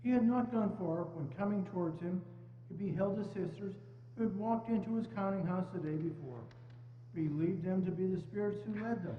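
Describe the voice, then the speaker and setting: A woman's voice: medium pitch, fairly quick. A woman narrator reading a scripted story in a dramatic reading. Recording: phone-like, echoey hall with hum.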